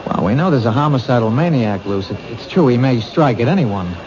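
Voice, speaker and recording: An actor playing a patient, thoughtful police detective who asks gentle, probing questions in a 1970s radio drama. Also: male voice, mid-range voice, lively intonation, fairly quick, natural voice. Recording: dull old radio broadcast, noisy.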